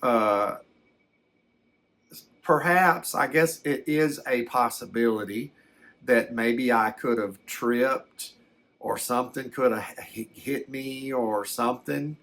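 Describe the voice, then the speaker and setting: An older man, telling a personal story in a halting, reflective, folksy way. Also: mid-range voice, fairly bright tone. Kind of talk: slow first-person storytelling.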